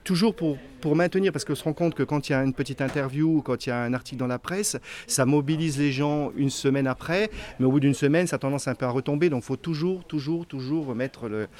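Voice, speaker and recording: A middle-aged man, the founder of a charity, answering interview questions in an earnest, casual way, often repeating words and filling pauses. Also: fairly high pitch, darkish tone. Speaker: association founder.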